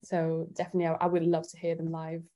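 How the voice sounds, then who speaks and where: A woman's voice: low-pitched, completely flat delivery, fast talker, warm tone. A woman from Italy chatting casually as a guest singer-songwriter in an interview.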